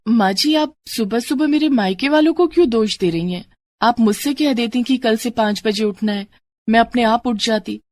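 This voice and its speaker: Woman playing a plaintive young cartoon bride, raised pitch. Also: normal speed, darkish tone, natural voice. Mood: meek, defensive. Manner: plaintive, polite, pleading. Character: young newlywed daughter-in-law, meek, hurt, pleading. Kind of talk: Hindi moral-story cartoon acting.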